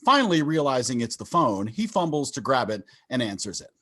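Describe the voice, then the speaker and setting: Husky guy reading out stage directions, pitch swings all over, on a video call. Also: big pitch swings, fairly quick, pushed and bright. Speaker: narrator.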